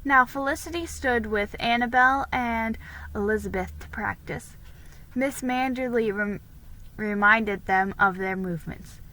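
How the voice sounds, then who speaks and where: A woman's voice: a little high, unhurried, bright, forceful tone, rough recording. A woman giving a dramatic reading of a children's historical story, stumbling and restarting here and there. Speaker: reader.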